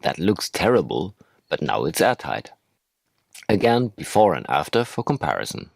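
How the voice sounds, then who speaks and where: A man's voice: sits low, big pitch swings, normal speed, gravelly, husky, no echo, studio quality. A man narrating a hobby tutorial as a voice-over, hosting his own how-to video.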